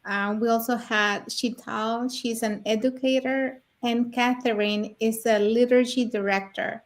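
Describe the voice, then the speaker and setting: A woman's voice: a little high, fairly even intonation, unhurried. A middle-aged or older woman from Mexico co-hosting a live online talk and presenting to viewers. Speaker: co-host of an online business talk show.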